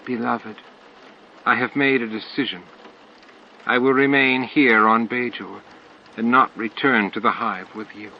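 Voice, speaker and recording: A man voicing a gentle but resolute female insectoid alien in an audiobook, with solemn, formal speech. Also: medium pitch, fairly even intonation, a little slow, long pauses, lightly acted. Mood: tender. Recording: dull lo-fi audio, clear noise.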